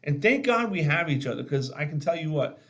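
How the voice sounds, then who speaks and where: A man hosting a casual video chat, telling military stories in a blunt, salty, animated way and acting out the dialogue. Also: fairly high pitch, a little fast. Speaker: podcast host and army veteran.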